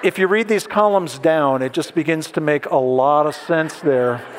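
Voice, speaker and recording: A pastor giving a conversational sermon, asking the audience questions and making light jokes. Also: male voice, fairly high pitch, normal speed, slight background noise. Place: large hall with an audience. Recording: long hall reverb.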